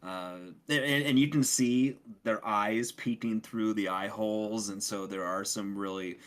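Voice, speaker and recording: A man's voice: on the low side, steady tone, measured pace, fairly bright tone. A man giving a casual game review as a podcast co-host, recorded with slight noise. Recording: faint background noise.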